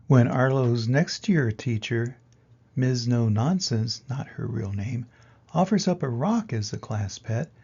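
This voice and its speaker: An older man reading a children's picture book aloud in an expressive way, with dramatic pauses and emphasis. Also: mid-range voice, slightly rough, muffled recording. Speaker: reader of children's picture books. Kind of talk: animated storybook read-aloud.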